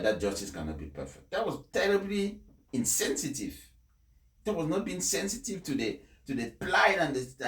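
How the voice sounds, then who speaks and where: Male speech reader and commentator, emphatic and deliberate delivery, mid-pitched voice in an echoey room. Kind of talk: formal read-aloud speech, then impassioned personal commentary. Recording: echoey, reverberant room sound.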